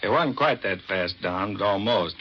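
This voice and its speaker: A man's voice: fairly low pitch, animated, normal speed, slight background noise. An American man, a navy commander, giving a plain, matter-of-fact scripted interview on 1940s radio. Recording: dull vintage 1940s radio recording.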